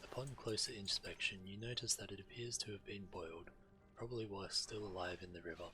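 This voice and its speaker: A man's voice: low voice, fairly even intonation, quick pace, bright, forceful tone. A man reading video game quest text aloud as a streamer, acting out the quest givers' lines as he narrates.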